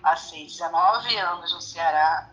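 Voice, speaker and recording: A woman's voice: fairly low pitch, measured pace, a bit bright, lo-fi sound. A woman in her forties with a Rio de Janeiro Brazilian accent, chatting casually as a guest on a live stream over a phone. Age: 40s. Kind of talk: casual chat.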